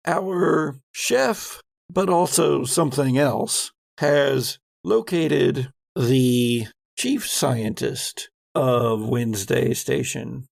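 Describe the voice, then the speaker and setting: A man speaks as a game master, theatrically recounting a horror story. His delivery is slow and deliberate, with a gravelly edge, and the recording is close and dry. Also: medium pitch, darkish tone, no echo. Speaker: game master and podcast host. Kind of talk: dramatic recap narration of a tabletop horror game.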